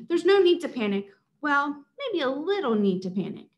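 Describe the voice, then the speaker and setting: A woman's voice: sits high, very animated, normal speed, highs cut off, pitched above the performer's own voice. A woman voicing a heroic, slightly comical superhero dad in a storybook, formal and commanding.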